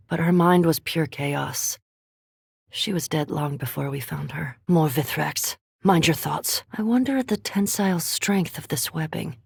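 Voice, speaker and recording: Erudite vampire scholar, female, fantasy game. Low, breathy-edged voice, precise formal delivery, cool curiosity, close dry recording. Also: no room sound, heavily acted. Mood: detached, clinical. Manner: formal, precise, dryly intellectual. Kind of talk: scripted fantasy game dialogue.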